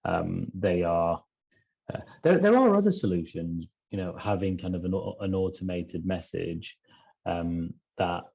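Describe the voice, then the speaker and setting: A man talking through ideas in a conversational podcast discussion, in a halting, thinking-aloud manner. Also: sits low, animated, creaky, a little mellow, dull audio. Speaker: podcast regular discussing pharmacy business.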